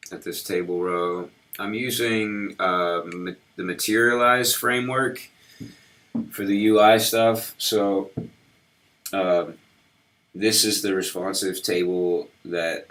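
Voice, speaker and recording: Low-voiced man, a bit hoarse. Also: fairly bright tone. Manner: halting, lots of ums and restarts while working. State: slightly sick, losing his voice. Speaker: web developer streaming his work. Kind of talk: casual live-coding walkthrough.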